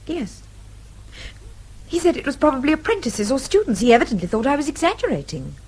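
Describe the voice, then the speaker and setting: High, lively young British woman. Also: a little fast. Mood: indignant. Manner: earnest, well-spoken. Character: outspoken, earnest young Englishwoman, indignant and polite. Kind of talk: radio drama acting.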